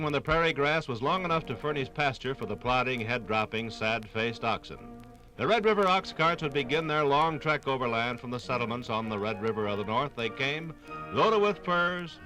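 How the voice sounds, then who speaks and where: Music plays clearly behind a male narrator of a vintage radio documentary. His voice sits at a medium pitch, and he builds phrases dramatically with deliberate pauses. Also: rough recording.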